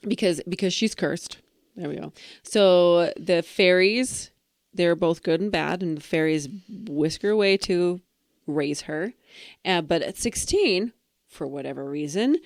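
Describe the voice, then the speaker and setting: Rambling, playful woman podcaster, lower-pitched. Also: lively intonation, a little slow, dry recording, clean studio sound. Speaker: podcast co-host. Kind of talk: casual banter retelling fairy tales.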